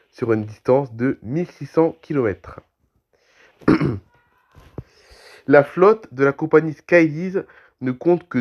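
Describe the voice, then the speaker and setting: A man's voice: a little high, highs cut off. Man with a cold reading news. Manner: reads aloud with restarts and throat clearing. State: has a cold, coughing. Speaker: aviation news youtuber. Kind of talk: reading aviation news with commentary.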